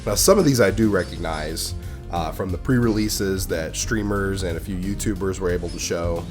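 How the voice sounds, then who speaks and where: A man with a lowish voice, hoarse and rough because he is under the weather. He chats casually through a card pack opening and perks up at good pulls. Faint music plays softly behind him. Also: moderate pace. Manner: chatty, excitable over good pulls. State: hoarse from a cold. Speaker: gaming YouTuber opening card packs. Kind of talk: casual gaming commentary.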